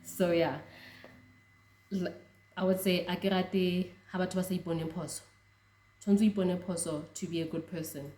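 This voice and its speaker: A young South African woman whose first language is Tswana, chatting excitedly about rugby with frequent laughs and restarts. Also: fairly low pitch, normal speed, pauses often, roomy sound. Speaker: rugby fan vlogger. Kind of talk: casual reaction chat.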